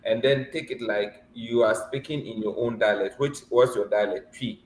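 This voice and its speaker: A man's voice: mid-range voice, normal speed, slight background noise. A man coaching a student for a mock visa interview over a video call, teasing and laughing often but encouraging. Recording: echoey room with faint ambience.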